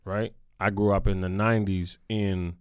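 Male guest from Nova Scotia, low, clear voice, blunt streetwise talk. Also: flat delivery, moderate pace, no room sound, clean studio sound. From Nova Scotia, Canada. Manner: direct, emphatic, streetwise phrasing. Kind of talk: casual interview conversation.

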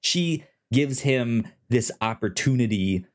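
A man co-hosting a casual TV review discussion, speaking haltingly and stammering over repeated syllables. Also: mid-range voice, lively intonation. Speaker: podcast co-host.

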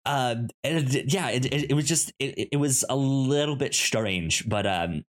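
A man co-hosting a podcast, discussing films casually in a halting, stammering way and often repeating words. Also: medium pitch. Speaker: podcast co-host.

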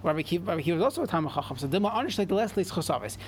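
High-voiced man teaching Talmud. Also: expressive, very fast, slight background noise. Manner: reads in chant then translates, frequent restarts. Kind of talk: Talmud class, reading and translating.

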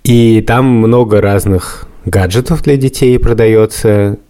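A man's voice: fairly low pitch, takes it slowly, warm tone, clean tone. A man co-hosting a podcast, chatting and telling stories in a conversational way. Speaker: podcast co-host. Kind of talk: casual podcast chat, storytelling.

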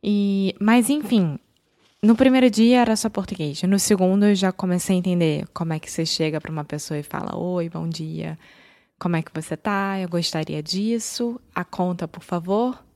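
Female podcast co-host, Brazilian accent, slightly low register, relaxed conversational delivery. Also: moderate pace. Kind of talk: casual chat.

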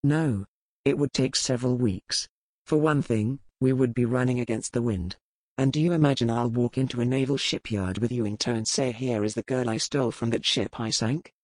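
A woman voicing a stern male pirate captain who speaks in measured, formal sentences. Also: rumbling low, darkish tone, dry recording, pitched below the performer's own voice, poor audio. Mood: grave. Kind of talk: audiobook character voice.

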